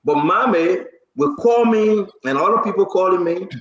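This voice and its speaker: Liberian man hosting, higher-pitched, echoey room. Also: expressive, measured pace, a bit bright. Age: 40s. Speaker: talk show host. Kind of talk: casual live talk show chat. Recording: echoey room, slightly dull sound.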